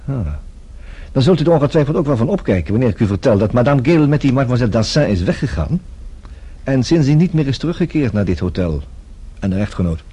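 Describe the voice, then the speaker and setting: An actor playing a flirtatious, persistent investigator in a radio play, with a husky voice and a teasing, smooth-talking manner. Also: male voice, medium pitch, sing-song, quick pace, dark tone. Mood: probing. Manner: teasing, smooth-talking, hesitant little interjections. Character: flirtatious, persistent male private investigator Bill Summers.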